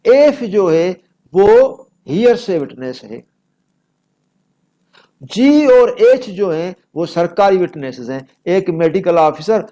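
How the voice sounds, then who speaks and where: A male lecturer with a Pakistani accent speaks in a fairly high voice at a very slow pace. He explains step by step in a didactic, emphatic way, moving between reading aloud and explaining, and repeats important phrases. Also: dark tone, lo-fi sound. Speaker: law lecturer.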